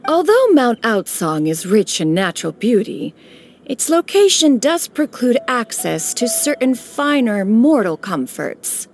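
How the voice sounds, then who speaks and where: A woman's voice: on the high side, big pitch swings, measured pace, slight background noise. A woman voicing an aloof ancient female immortal master who speaks in a stately, archaic way, referring to herself as "one", in a game cutscene. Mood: reflective. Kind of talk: video game cutscene dialogue. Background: faint game music.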